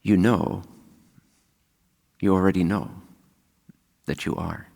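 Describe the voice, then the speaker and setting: A man leading a guided meditation as a spiritual teacher, speaking calmly and unhurriedly with long pauses. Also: sits low, gravelly. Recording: long hall-like reverb.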